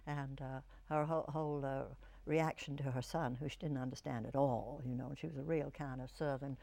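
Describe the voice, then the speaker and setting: An older woman, an actress, reminiscing in an interview, telling stories in a reflective, halting way. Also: a bass voice, fairly even intonation, vocal fry, mellow.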